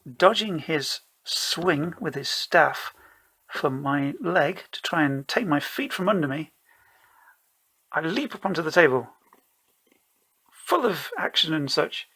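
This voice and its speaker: A man's voice: on the high side, animated, slow, pauses often, sharp tone, husky. A man narrating his own solo tabletop role-playing game in a casual, rambling way, self-deprecating and playfully theatrical.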